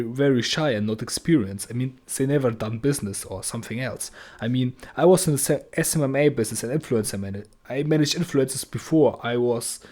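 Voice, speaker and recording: Mellow-voiced man speaking non-native English. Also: mid-range voice. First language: not English; English is his third language. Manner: non-native English with restarts and frequent "I mean"; blunt, swears casually. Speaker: agency owner giving advice. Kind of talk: casual talking-head monologue.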